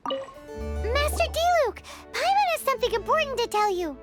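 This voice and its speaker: Teeny squeaky fairy girl for a game, cheeky, super high and bouncy, music behind. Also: very animated, moderate pace. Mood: eager. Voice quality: squeaky, childlike. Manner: speaks of herself in third person, exclaiming. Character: tiny excitable childlike floating fairy companion girl, cheeky. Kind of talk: video game voice acting.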